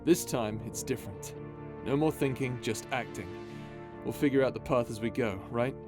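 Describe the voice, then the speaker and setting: A man's voice: medium pitch, moderate pace, lightly acted. A man playing a cocky, playful space pirate captain in a casual, teasing ASMR roleplay drama. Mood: confident, sneaky. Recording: music under the voice.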